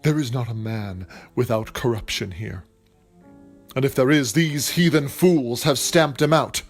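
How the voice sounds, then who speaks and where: Man acting as passionate period playwright, florid theatrical delivery, average male pitch, light music behind. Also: unhurried. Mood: brooding, vengeful. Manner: florid, declamatory, earnest. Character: passionate, grandiloquent male playwright scheming for material.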